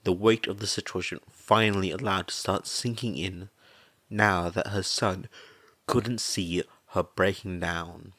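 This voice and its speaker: A man's voice: low voice, fairly even intonation, unhurried, fairly bright tone, dry recording. A man reading a story aloud as scripted podfic narration.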